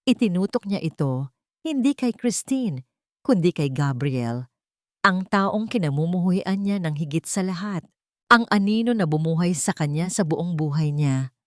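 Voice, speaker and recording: A Filipino woman with a fairly low voice narrates a romantic drama. Her delivery is emotive and deliberate, in the manner of scripted storytelling. The sound is dry and close, with little room in it. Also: expressive, no echo.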